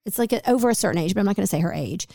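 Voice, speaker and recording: A raspy-voiced woman in her forties, co-hosting a podcast in a chatty, rambling way full of fillers. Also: medium pitch, animated, rapid-fire, mellow, no room sound, studio quality. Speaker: podcast co-host. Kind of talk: casual podcast chat.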